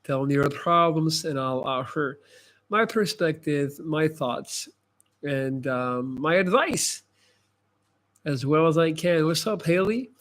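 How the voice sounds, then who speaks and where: Higher-pitched man with American-sounding English. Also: slow, a bit bright. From Dutch-speaking Belgium. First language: Dutch. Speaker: livestream host giving advice. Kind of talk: casual live chat, answering comments.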